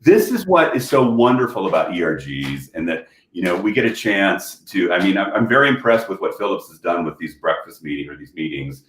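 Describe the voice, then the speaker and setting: A man's voice: sits low, very animated, fairly quick, hardly any pauses, fairly bright tone. A man chatting on a panel from home over a video call, rambling with lots of fillers and restarts. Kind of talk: casual panel chat. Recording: echoey room.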